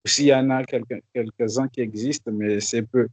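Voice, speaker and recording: A man's voice: medium pitch, fairly even intonation, fairly quick, darkish tone, breathy edge, dry recording. A man from the Comoros, a student, commenting hesitantly in an online class. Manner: hesitant, with restarts.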